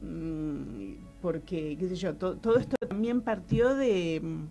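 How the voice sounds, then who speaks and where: A woman with an Argentine Spanish accent talking casually as an interview guest, reflective and expressive, often searching for her words. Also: on the low side, slow pace, over music. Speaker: guest, actress and playwright.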